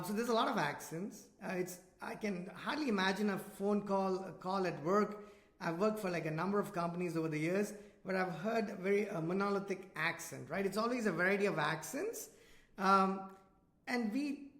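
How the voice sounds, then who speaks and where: A man's voice: high-pitched, a bit bright. A man with an Indian accent hosting a podcast interview, talking in a loose, conversational way. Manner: conversational, loose, self-deprecating asides. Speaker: podcast host. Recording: roomy echo.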